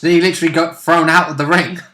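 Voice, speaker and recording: Casual man with a bright voice. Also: fairly high pitch, little pitch movement, fast. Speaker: co-host commenting on the game. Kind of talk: casual chat, commentary on a tabletop game.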